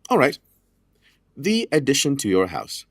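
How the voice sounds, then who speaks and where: An actor playing a smooth, condescending estate lawyer in an audio drama, with precise, formal, patronizing speech. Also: male voice, medium pitch, huge pitch swings, unhurried, no room sound, clean studio sound, exaggerated voice. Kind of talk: audio drama acting, period dialogue.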